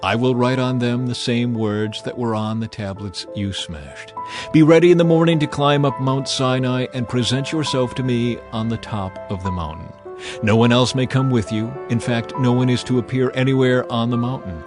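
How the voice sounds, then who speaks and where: A man's voice: medium pitch, normal speed, over music, lightly acted. A man voicing a solemn, commanding God in dramatized scripture, grave and declamatory. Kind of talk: dramatized audiobook acting.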